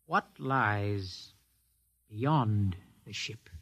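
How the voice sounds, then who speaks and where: An actor playing a bold, impetuous, skeptical young scientist with formal, earnest delivery in old-time radio style. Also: male voice, fairly low pitch, expressive, very slow, a bit bright, no echo, full character voice. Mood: probing.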